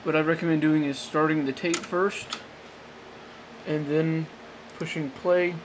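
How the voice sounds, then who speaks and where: Guy with a kinda high voice doing an off the cuff tutorial, rambles and backtracks, noisy camera mic in a room. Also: steady tone. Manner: halting, rambling, self-correcting. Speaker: amateur tech tutorial presenter. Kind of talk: casual unscripted how-to demonstration. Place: small indoor room at a desk. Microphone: handheld camera, off-screen close behind it.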